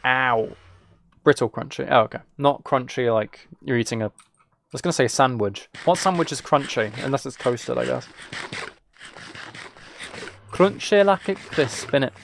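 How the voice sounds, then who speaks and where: A man's voice: mid-range voice, moderate pace, creaky, pauses often, a little hiss or hum. A British man streaming a game and chatting casually with viewers, musing aloud in an informal, rambling way. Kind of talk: casual chat while playing a game.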